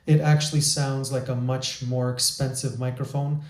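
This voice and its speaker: A man's voice: mid-range voice, little pitch movement, moderate pace. A man hosting a gear review, talking in an enthusiastic, chatty way with small restarts, recorded on a shotgun microphone. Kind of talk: product review talk.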